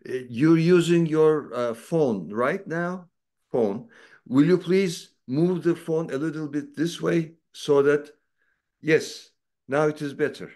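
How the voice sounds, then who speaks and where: Slow, halting male interviewer. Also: on the high side, darkish tone. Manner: slow, halting questions with many fillers. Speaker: interviewer, host. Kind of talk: interview questions.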